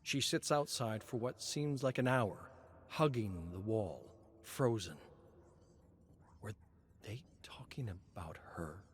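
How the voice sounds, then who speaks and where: A man narrating a story in a measured, expressive reading. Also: lowish voice, a little hiss or hum. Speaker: lore narrator.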